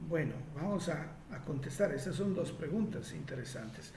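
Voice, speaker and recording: A man's voice: on the high side, animated, normal speed, a little mellow, echoey room, a little hiss or hum. A man from Italy speaking Spanish with an Italian accent, answering listener questions in a reflective way with frequent fillers and restarts.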